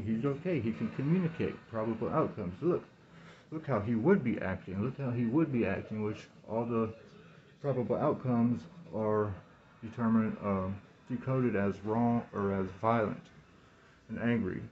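A man in his thirties in a rambling, halting monologue, repeating words and phrases, recorded on a phone indoors through a cloth mask. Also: on the low side, a little mellow, highs cut off, slight background noise. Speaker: vlogger talking to camera. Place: small room indoors. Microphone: phone at arm's length, speaking through cloth mask.

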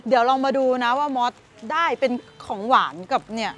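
A woman's voice: fairly high pitch, brisk. Chatty woman vlogging over background music. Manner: chatty, addresses viewers directly, excitable. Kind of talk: casual grocery vlog and food tasting. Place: supermarket aisles and parked car. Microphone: handheld phone camera at arm's length. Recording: phone video with music underneath.

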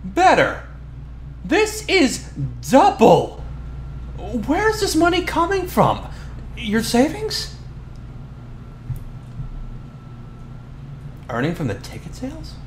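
A man acts out a young male bully who is secretly smitten, in an ASMR boyfriend roleplay. He stammers bashfully at a slow pace, with pitch that swings widely. The room echoes around him. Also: wildly swooping pitch, full character voice. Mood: stunned, grateful. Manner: halting, stammering, bashful delivery. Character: flustered, tough-talking young male bully with a soft crush. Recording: roomy echo, noisy ambience behind.

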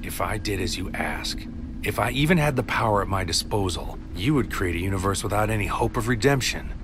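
An actor playing a brooding, tormented man in a dark poetic audio drama, speaking with grave, portentous delivery. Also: fairly low pitch, expressive, a little fast, noisy background, close to an everyday voice. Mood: grave, resistant. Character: brooding tormented man Maru, weighing judgment of mankind.

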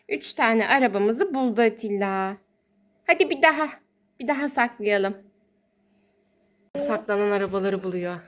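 High-pitched woman, sing-song talk to children. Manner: sing-song, child-directed, exclaiming and drawing out words. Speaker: host, mother playing with her child. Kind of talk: toy-play narration for young children.

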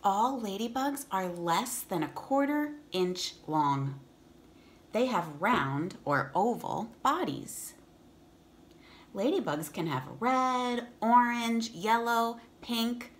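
A woman's voice: medium pitch, animated, extremely slow. A woman in her thirties reading a children's picture book aloud as a teacher, enunciating for young listeners and explaining as she goes. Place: room in a home.

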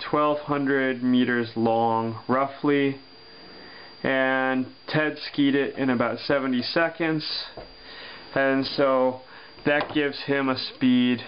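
Guy slowly talking thru a physics problem, lots of ums, muffled lo-fi sound w faint hum. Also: mid-range voice. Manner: slow, thinking aloud with many pauses and fillers. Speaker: physics teacher. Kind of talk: worked-example lesson over paper.

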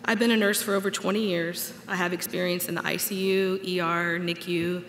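Woman in her 40s, commencement speaker, gravelly edge, podium mic, reverberant hall. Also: on the low side, level tone, a little fast, a bit bright, slight background noise. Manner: earnest, personal, read from notes with light humor. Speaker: graduate speaker. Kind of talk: commencement speech. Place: large auditorium stage at a podium. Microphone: podium microphone. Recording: echoey hall with long reverb.

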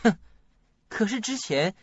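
A man's voice: sits high, animated, measured pace, slightly rough, no echo, full character voice. An actor playing an emotional, troubled young man in a radio drama. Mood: bitter, accusing. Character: bitter, accusing young man, anxious son at home. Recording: dull, dry lo-fi audio, faint music.

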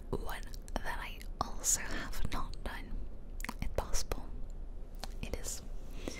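A woman whispering playful, rambling ASMR commentary over a video game, close to the microphone. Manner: playful, self-deprecating, rambling asides. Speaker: game streamer, ASMR creator. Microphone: close ear-to-ear ASMR microphone.

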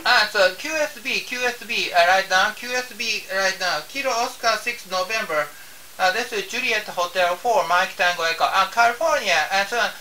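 Male amateur radio operator, Japanese accent, halting and repetitive, recorded off-mic with faint hiss. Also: high-pitched, bright, forceful tone, distant mic, low-quality recording. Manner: halting, repeats phrases and spells out call signs. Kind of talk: ham radio contact. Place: home radio room indoors.

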